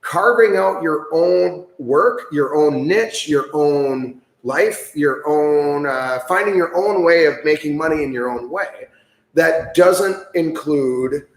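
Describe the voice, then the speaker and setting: A man's voice: on the high side, slow, reverberant, rough recording. An enthusiastic, chatty man from the United States hosting a livestream, with lots of fillers. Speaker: livestream host. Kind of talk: casual livestream chat.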